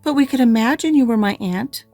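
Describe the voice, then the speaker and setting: A woman's voice: a little high, fairly quick, dark tone, soft background music, voice pushed up. A woman voicing an eager, imaginative and talkative orphan girl, pleading and effusive, in an audiobook reading.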